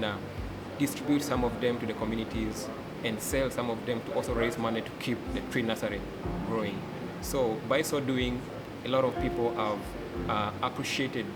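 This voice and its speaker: A young man from Uganda with a Ugandan accent, speaking earnestly as a forest educator and delegate, often pausing with "uh". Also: mid-range voice. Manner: earnest, many "uh" pauses. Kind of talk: interview testimony. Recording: loud background hum.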